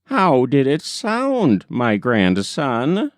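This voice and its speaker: A man voicing a fearful old grandmother in a folk tale, with drawn-out, fretful exclamations. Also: medium pitch, wildly swooping pitch, no room sound, clean studio sound, voice pushed up, heavily acted. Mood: alarmed.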